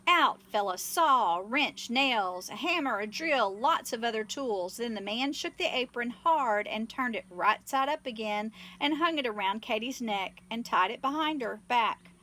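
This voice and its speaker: Lady reading a kids book out loud, high bright voice, really expressive. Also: unhurried, hardly any pauses. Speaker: storybook reader. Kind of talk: expressive scripted children's story narration.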